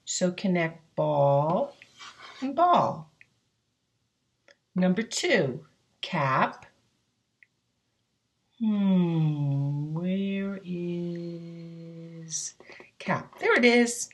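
Very slow, patient breathy woman. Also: fairly low pitch, animated. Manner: very slow, patient, explains each step. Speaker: teacher guiding a worksheet. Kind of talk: step-by-step instruction.

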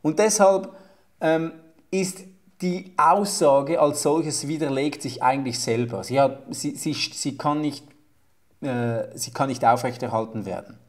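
Slow, careful man. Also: fairly high pitch. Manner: thoughtful, searching for words, many restarts. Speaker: podcast guest. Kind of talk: explaining arguments on a podcast.

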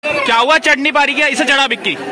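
High-pitched excitable young man, noisy crowd behind. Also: fast talker. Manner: excitable, teasing, slangy. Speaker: vlogger. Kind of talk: casual vlog chat. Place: outdoor public swimming pool. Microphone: handheld phone. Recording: noisy, crowded outdoor pool. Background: crowd, splashing, outdoor noise.

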